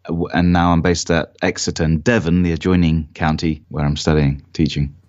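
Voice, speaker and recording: A man with an English accent shaped by London, speaking as a podcast guest, thoughtful and measured with frequent restarts. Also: very deep voice, little pitch movement, darkish tone. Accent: English, London-influenced. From Sweden and Cornwall, England. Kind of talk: casual conversation. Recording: dull lo-fi audio.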